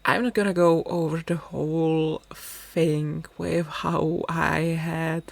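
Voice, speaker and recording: Low-voiced Czech woman, slow and gravelly. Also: level tone, no room sound. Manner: chatty, rambling, self-deprecating. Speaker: gaming YouTuber. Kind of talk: casual voice-over commentary.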